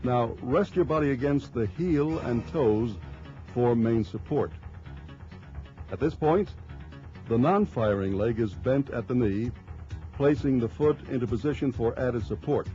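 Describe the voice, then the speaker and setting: Mellow male instructional narrator, dull old soundtrack. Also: mid-range voice, faint music behind. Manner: measured, formal, explanatory. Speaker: training film narrator. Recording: dull lo-fi early 1990s film soundtrack.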